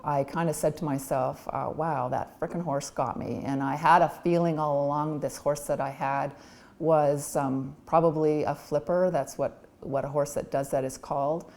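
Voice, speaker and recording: A woman in her forties gives a documentary interview. Her voice is low and mellow, and she speaks candidly and reflectively, pausing on ums as she thinks it through. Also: fairly even intonation. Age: 40s.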